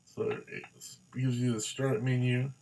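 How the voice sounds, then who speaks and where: A man talks through a gadget review in a casual, hands-on style. His voice sits at a medium pitch. He rambles a little, stopping and starting and circling back over the same points. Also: level tone, darkish tone, a little hiss or hum. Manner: halting, rambling, repeats himself. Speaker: product reviewer.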